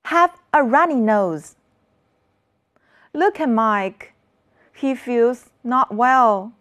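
A woman's voice: on the high side, expressive, extremely slow, a bit bright. A woman teaching children an English lesson, modeling words for the class to repeat.

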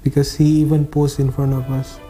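A man who is a photographer answers interview questions in halting non-native English, repeating words and using fillers. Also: on the high side, little pitch movement, warm tone, soft background music.

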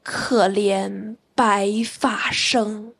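A female student reciting a classical poem in a measured, deliberate way. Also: medium pitch, steady tone, creaky, fairly bright tone, husky.

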